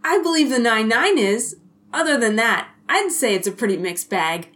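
Boyish wisecracking cop, acted by a woman: very high, animated pitch, playful and keen, audio drama. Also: normal speed. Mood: playful, defiant. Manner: playful, enthusiastic. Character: boyish, excitable, wisecracking male police detective.

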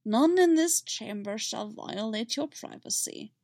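A woman voicing an ancient, wise alien sage who speaks slowly with inverted word order. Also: on the high side, animated, a little mellow, no echo, clean studio sound. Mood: wise, patient. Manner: inverted word order, slow measured speech.

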